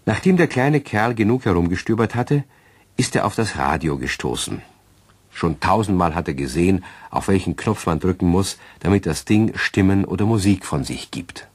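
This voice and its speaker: A man with a husky voice playing the genial narrator of a children's radio play. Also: low voice, moderate pace, no room sound. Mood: storytelling. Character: genial male storyteller of a children's tale.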